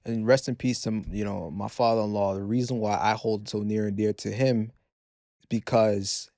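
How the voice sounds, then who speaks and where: A man's voice: lowish voice, fairly even intonation, moderate pace, vocal fry, mellow, no echo. Earnest male podcast guest, dry studio sound. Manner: earnest, stumbling restarts. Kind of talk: casual interview chat.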